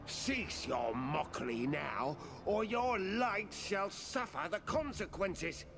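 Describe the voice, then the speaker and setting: A man's voice: high voice, lively intonation, slow pace, background noise, exaggerated voice. Man acting an imperious old sage, theatrical and swooping. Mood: indignant, menacing. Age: older. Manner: theatrical, grave, archaic formal phrasing. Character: imperious, cryptic old male keeper of knowledge. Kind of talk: audio drama acting. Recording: dramatic music behind the voice.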